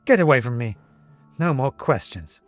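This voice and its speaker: A man voicing a confused, agitated gentleman who keeps losing his memory, stammering and growing frantic. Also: medium pitch, very animated, normal speed, faint music behind, pitched above the performer's own voice, close to an everyday voice. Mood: panicked. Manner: stammering, flustered, demanding. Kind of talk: audiobook character reading.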